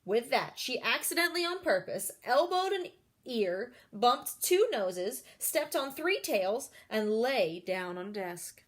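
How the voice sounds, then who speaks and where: Slow, higher-voiced woman reading a storybook. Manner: expressive, addresses children with questions. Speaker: storybook reader. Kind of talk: children's read-aloud with asides to young listeners.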